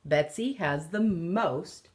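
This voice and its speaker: A woman in her thirties reads a picture book aloud like an elementary teacher. She goes very slowly, with a fairly low voice that moves up and down for emphasis. Her delivery is deliberate and instructive, recorded at home on a device about an arm's length away. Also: animated, darkish tone. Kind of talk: children's picture book read-aloud with explanations. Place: room at home.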